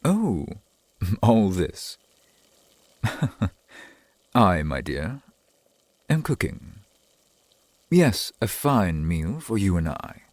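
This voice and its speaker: Man playing a breathy, lilting, doting vampire boyfriend. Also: low-pitched, darkish tone, dry recording, exaggerated voice. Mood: proud, flustered. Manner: formal archaic wording, halting, eager to please. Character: doting, old-fashioned, earnest vampire boyfriend, centuries old. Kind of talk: ASMR boyfriend roleplay, theatrical and intimate.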